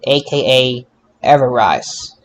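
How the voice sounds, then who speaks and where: A man's voice: medium pitch, expressive, slow, poor audio. A man hosting a podcast, giving a casual recap of wrestling shows. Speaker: podcast host.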